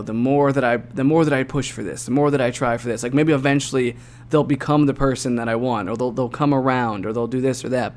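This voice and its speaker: A young man co-hosting a casual advice podcast, relaxed and talkative, peppering his talk with "like" and "dude". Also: mid-range voice, a little hiss or hum. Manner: relaxed, uses "dude" and "like" a lot. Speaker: podcast co-host.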